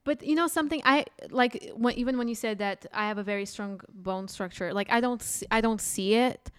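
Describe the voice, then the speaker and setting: A woman's voice: on the high side, fairly quick, clear, resonant tone, no echo, clean studio sound. A woman from Portugal with a Portuguese accent, a comedian guest chatting animatedly on a podcast. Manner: animated, chatty, with frequent restarts. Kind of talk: casual chat.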